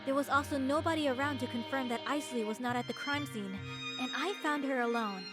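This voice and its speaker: A woman's voice: high voice, brisk, over music, lightly acted. A woman playing a composed, inquisitive young nun in a fantasy visual novel, speaking politely and firmly. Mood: firm, probing. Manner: polite, probing, firm. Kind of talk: visual novel character acting.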